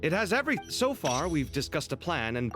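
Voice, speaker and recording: Upbeat, expressive young companion. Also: male voice, fairly high pitch, a little fast, over music. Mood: eager. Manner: upbeat, earnest, informal. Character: friendly, expressive young man, eager and sociable. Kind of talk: video game character acting.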